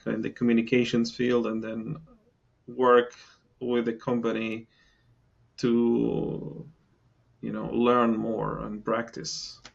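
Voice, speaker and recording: A man's voice: mid-range voice, gravelly, slightly rough, dull audio. A middle-aged man from Palestine, a guest in a podcast interview, talking with many fillers and restarts. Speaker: engineering guest.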